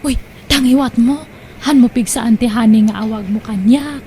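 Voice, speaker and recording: A woman's voice: a little high, moderate pace, mellow, slight background noise, natural voice. A woman playing a coy, secretive young woman in a comedy drama, with an Ilocano accent. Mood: hushed, secretive. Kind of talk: Ilocano comedy radio-style drama acting.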